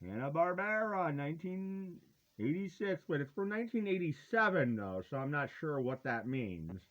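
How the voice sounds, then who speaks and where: A man presenting a retro collectible in casual, rambling, halting chat, with a playful, hammy, folksy delivery and drawn-out words. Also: a little high, sing-song, a bit bright, muffled recording.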